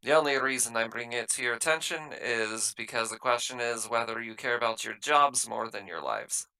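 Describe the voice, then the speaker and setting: A man playing a tabletop roleplaying game, explaining things in a halting, matter-of-fact way as he improvises in character. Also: mid-range voice, gravelly, sharp tone, clear, resonant tone, low-quality recording.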